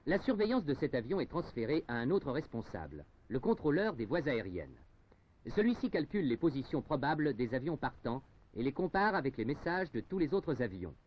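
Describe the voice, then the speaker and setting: A man's voice: mid-range voice, sing-song, fairly quick. A man reading scripted narration for a 1970s informational film in a formal, emphatic, carefully articulated way. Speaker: documentary narrator. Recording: dull, noisy 1970s film soundtrack.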